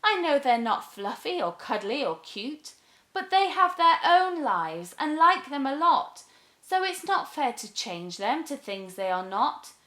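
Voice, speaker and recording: A woman's voice: sits high, very animated, a little slow, sharp tone, roomy sound, voice pushed up, heavily acted. A woman voicing a kind, earnest girl in a children's storybook, reassuring and pleading. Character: kind, gentle, earnest girl advising a witch.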